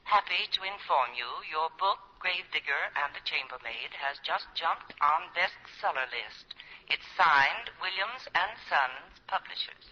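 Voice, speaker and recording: A formal telegraph operator heard through a telephone filter, with a very sharp, fairly high voice. He dictates in a businesslike way on a noisy old radio drama recording. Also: animated, unhurried, in a large hall, highs cut off, full character voice. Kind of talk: 1940s radio acting.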